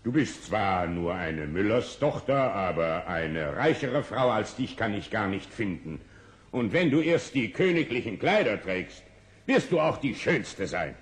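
Deep-toned, lilting, grand king. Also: very animated, a little slow. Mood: pleased, grand. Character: stately, self-satisfied fairy-tale king courting a bride. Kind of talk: 1960s fairy-tale radio play.

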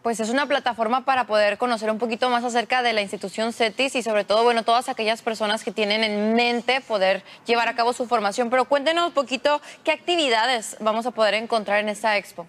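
Slightly high woman's voice. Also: quick pace, hardly any pauses, a bit bright. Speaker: TV show host. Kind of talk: live TV interview. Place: indoor television studio.

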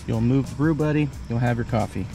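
A man's voice: medium pitch, fast. Chatty man demoing camp coffee. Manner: relaxed, chatty, explaining step by step. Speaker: vlogger. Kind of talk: casual how-to demo. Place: outdoor camp under a canopy tent. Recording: background music under voice. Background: music.

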